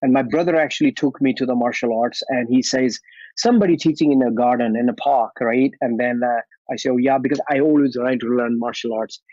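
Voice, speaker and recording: A man's voice: medium pitch, a little fast, warm tone, no echo. Friendly Indian-accented man, dull sound. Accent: Indian English. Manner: friendly, chuckling, loose grammar. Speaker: guest, martial artist and actor. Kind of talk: casual interview answers. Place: at home after a workout.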